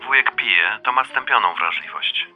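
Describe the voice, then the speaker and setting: An actor playing a blunt, wry middle-aged son in a radio drama, speaking colloquial with a dryly teasing manner. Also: male voice, lowish voice, animated, moderate pace, lo-fi sound, soft background music, lightly acted. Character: blunt, wry adult son, uneasy new father. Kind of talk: radio drama dialogue, phone conversation.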